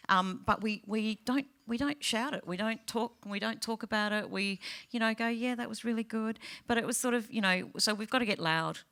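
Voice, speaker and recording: A woman's voice: fairly high pitch, moderate pace, few pauses, fairly bright tone. A woman speaking earnestly on a business panel, repeating her phrases for emphasis.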